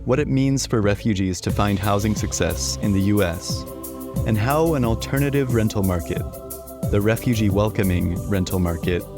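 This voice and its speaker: A man hosting a podcast interview, speaking in a measured, explanatory way. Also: on the low side, music in the background. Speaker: podcast host.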